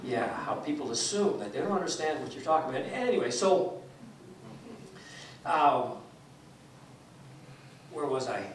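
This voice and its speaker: A man's voice: a little high, sing-song, a little slow, long pauses, slight background noise. A man in his sixties, raised in Taiwan, giving a guest sermon as a pastor, telling stories casually with many fillers and restarts. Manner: conversational, with frequent fillers and restarts, gesturing while speaking. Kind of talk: informal sermon storytelling. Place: church sanctuary, indoors. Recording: echoey church hall, distant mic.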